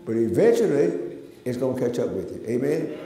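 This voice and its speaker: A man's voice: mid-range voice, sing-song, moderate pace, warm tone. An older man preaching a sermon as a reverend, with repetitive, emphatic phrasing and frequent amens. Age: older, about 70s. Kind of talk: sermon with repeated "amen" call-outs. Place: large church sanctuary, indoors. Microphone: gooseneck pulpit microphone. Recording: echoey church hall with long reverb.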